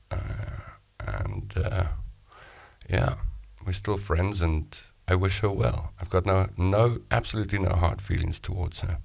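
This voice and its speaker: A man's voice: sits low, gravelly, slightly rough, dry recording. A middle-aged man hosting a live show, chatting casually and self-mockingly as he reads out viewer comments. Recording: music playing behind the voice.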